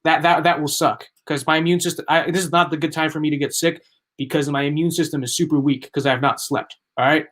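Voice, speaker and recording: A young man running live-stream commentary in a slightly high voice. He talks in rambling, emphatic bursts, circling back over the same points. His voice is starting to wear thin and hoarse. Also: steady tone, fast, a bit bright. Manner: rambling, emphatic, repeats himself. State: losing his voice. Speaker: livestream political commentator.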